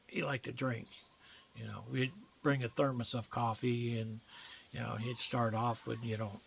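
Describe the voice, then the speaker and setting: A man's voice: medium pitch, level tone, normal speed, creaky, a little hiss or hum. An older man telling personal stories in a casual, folksy, rambling way with colloquial phrasing. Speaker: storyteller recounting personal memories. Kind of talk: casual reminiscing monologue.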